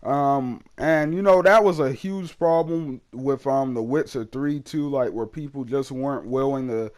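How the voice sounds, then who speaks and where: A young man hosting his gaming channel, reviewing a game in casual, rambling talk full of fillers, his voice a bit hoarse from a cold. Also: mid-range voice, a little slow, a little mellow. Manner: rambling, many fillers and restarts.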